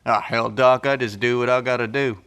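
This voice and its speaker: Man as a bright-voiced folksy wasteland survivor. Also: mid-range voice, steady tone, a little fast, clear, resonant tone, close to an everyday voice. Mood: plainspoken, skeptical. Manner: folksy, colloquial, drawling phrases. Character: folksy, handy post-apocalyptic survivor, blunt and skeptical. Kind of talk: audio drama acting.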